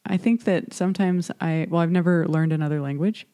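A woman's voice: on the low side, fairly quick, warm tone. A young American woman chatting casually as podcast host, hesitant and self-deprecating with frequent laughs. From United States. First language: English. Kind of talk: casual chat.

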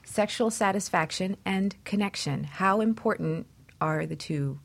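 A woman's voice: medium pitch, unhurried, gravelly. A woman hosting a talk show, explaining things in a conversational way.

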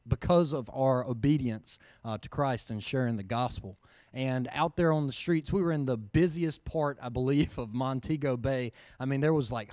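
A man's voice: mid-range voice, darkish tone, no room sound. A man giving an earnest personal testimony to a church audience, with plenty of ums along the way. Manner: earnest, storytelling, with frequent fillers. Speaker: mission team member giving a report.

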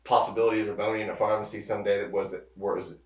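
A man in his thirties speaks as an interview guest in a low voice, loose and rambling, circling back and restarting his sentences. He sits at a distance from the mic, and the room gives his voice a noticeable echo. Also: fast. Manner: relaxed, rambling with many restarts. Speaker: pharmacist guest. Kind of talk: casual interview.

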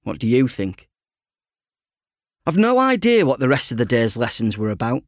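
A man reading an audiobook in the first person as a teenage boy, conversational, self-deprecating and expressive. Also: medium pitch, normal speed, dry recording, studio quality. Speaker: author reading his own audiobook.

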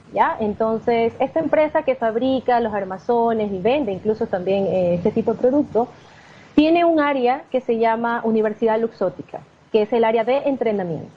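A woman's voice: fairly high pitch, husky. A woman, a corporate trainer, answering interview questions on a radio show in a friendly, explanatory way. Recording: dull lo-fi radio with faint noise.

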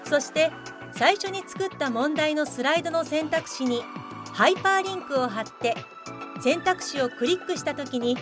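A woman playing a polite explanatory narrator who presents scripted software tutorials in a radio drama. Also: sits high, animated, moderate pace, music in the background. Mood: helpful. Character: bright instructional narrator of software tips.

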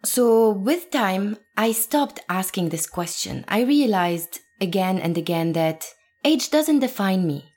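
A woman's voice: mid-range voice, lively intonation, slow, darkish tone. Earnest woman from Lebanon being interviewed. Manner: earnest, emphatic, laughs now and then. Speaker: guest actress. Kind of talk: reflective interview talk.

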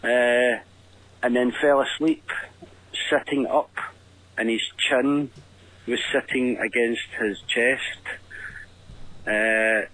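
A Scottish man delivers a casual story as a show caller. His pace is slow and deliberate, his manner gruff and foul-mouthed, and the sound is dry, with no room around him. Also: medium pitch, fairly even intonation, a bit bright, no echo, rough recording. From Scotland. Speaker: caller leaving a voice message. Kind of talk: casual anecdote telling.

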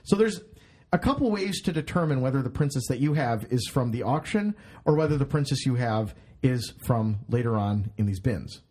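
Husky rambling podcast host, a man. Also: medium pitch, animated, moderate pace, a little mellow. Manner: rambling, swears, laughs at himself. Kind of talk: casual chat.

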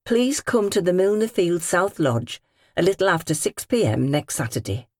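A woman's voice: deep voice, a little mellow, dry recording, studio quality. A British woman narrator voicing an earnest, formal young working man reading out his polite letter in an audiobook. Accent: British English.

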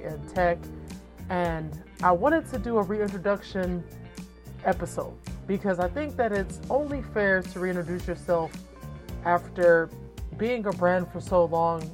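A deep, low-pitched woman in her thirties talks straight to her listeners as a podcast host. Her delivery is candid and conversational, peppered with profanity and quick rhetorical check-ins. Faint music sits underneath her voice. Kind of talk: casual solo podcast monologue.

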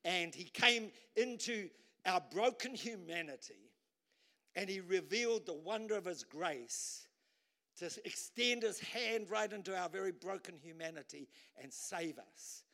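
A male pastor preaching a sermon to a church, animated, swinging between loud bursts and low asides and repeating words as he pushes a point. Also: unhurried.

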